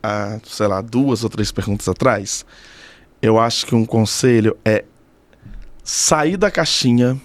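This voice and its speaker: A man's voice: medium pitch, no echo. A man in his thirties with a Brazilian Portuguese accent, talking casually and reflectively as an interview guest, thoughtfully repeating phrases for emphasis. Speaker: podcast guest, doctoral student in communication.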